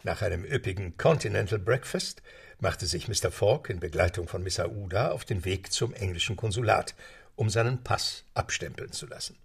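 A man narrating a radio play with measured storytelling. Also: deep voice, creaky, husky. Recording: music beneath narration.

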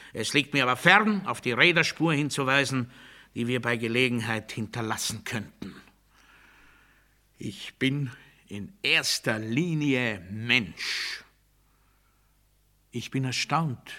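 Ein Schauspieler, der in einem Hörspielmonolog einen fanatischen militaristischen Redner spielt, pompös und nachdrücklich deklamierend. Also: male voice, mid-range voice, natural voice. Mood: controlled, measured. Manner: pompous, emphatic, swinging from hushed to strident. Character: fanatical militaristic male orator declaiming rigid dogma. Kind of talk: German radio play monologue, oratorical declamation.

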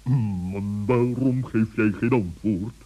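Big stern owl, acted by a man: low, very slow, pompous and deliberate, children's radio play on a dull old recording. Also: dark tone. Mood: stern, indignant. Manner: pompous, deliberate, long-winded wording.

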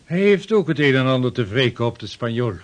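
A man's voice: mid-range voice, lively intonation, a little fast, close to an everyday voice. An actor playing an earnest, modest seasoned voyager making his case in a period radio drama. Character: earnest seasoned voyager and trader, adult man.

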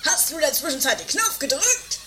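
A woman's voice: sits high, very animated, brisk, very noisy. A woman playing an exasperated, scolding member of a squabbling household in an anarchic comedy radio play. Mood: nagging. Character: Heini, squeaky, exasperated, squabbling household companion. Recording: 1980s home-made radio play over loud music.

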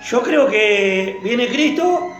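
A man's voice: very high voice, expressive, very slow, fairly bright tone, reverberant, dull audio, faint music behind. A man with a Rioplatense Spanish accent, explaining his view at length in a Bible study group and thinking aloud.

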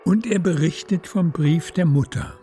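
A woman's voice: on the low side, moderate pace, dark tone, faint music behind. A woman narrating a radio play in scripted literary prose.

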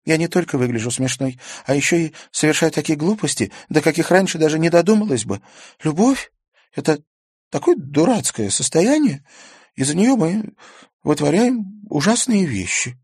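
A lovesick young woman student, worried and sighing, as a man reads her out. The voice climbs well above his own, loud and pressing, caught in a very dry, close recording. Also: sing-song, moderate pace, breathy edge, no room sound, exaggerated voice. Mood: flustered. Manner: earnest and sighing, voice pushed high. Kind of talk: audiobook character voicing.

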